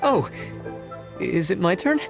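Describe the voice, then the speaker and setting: A man's voice: high voice, big pitch swings, slow pace, gravelly, over music, full character voice. An actor playing a nervous, awkward male civil servant in a video game, hesitant and pedantically precise. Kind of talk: scripted video game voice acting.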